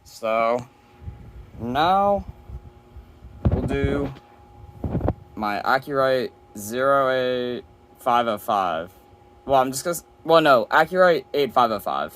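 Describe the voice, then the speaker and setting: A man's voice: medium pitch, very animated, slow pace, long pauses, a bit bright, a little hiss or hum, low-quality recording. A man giving a casual, rambling, offhand hands-on demo of his collection, presenting as a hobby collector. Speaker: weather radio collector presenting.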